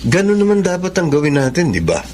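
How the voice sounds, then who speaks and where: A man's voice: fairly high pitch, wildly swooping pitch, fast, darkish tone, slight background noise. A man with a Filipino accent acting as a kindly, teasing university professor in a radio-style drama. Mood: earnest.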